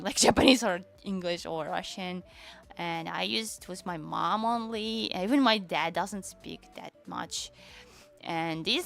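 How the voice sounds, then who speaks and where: Young woman on stream, tatar is her first language, lots of ums, kinda gravelly voice. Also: mid-range voice, a bit bright. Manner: halting, searching for words, many fillers; switches between Japanese and English. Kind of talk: casual chat stream. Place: lives in Japan.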